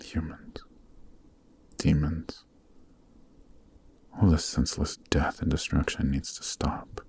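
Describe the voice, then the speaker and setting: A man playing a weary, noble Demon King in a fantasy ASMR roleplay, speaking softly and solemnly with a breathy voice. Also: flat delivery, heavily acted. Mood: grieving, solemn. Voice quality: very deep, soft, breathy, half-whispered. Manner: slow, solemn, earnest, formal phrasing. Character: weary, noble adult male Demon King, sorrowful and gentle. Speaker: voice actor.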